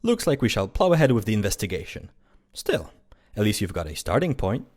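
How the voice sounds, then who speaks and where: A performer voicing a brisk, dry afterlife official with an officious, mildly bored manner in an amateur audio drama. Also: medium pitch, very animated, a little mellow.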